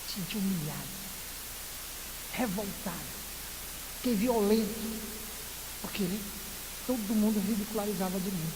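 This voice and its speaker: High-voiced preacher guy from recife, lots of questions and little jokes, loud hiss in the recording. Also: unhurried, long pauses. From Recife, northeastern Brazil. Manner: lively preaching full of rhetorical questions and playful asides. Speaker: pastor. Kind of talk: sermon. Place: church sanctuary. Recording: echoey church hall with loud hiss.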